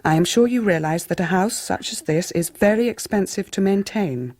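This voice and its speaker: A woman playing a middle-aged, stern and genteel Victorian mother in a radio drama, with a formal upper-class English accent and measured delivery. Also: mid-range voice, lively intonation, darkish tone, lightly acted. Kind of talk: 1990s radio drama acting.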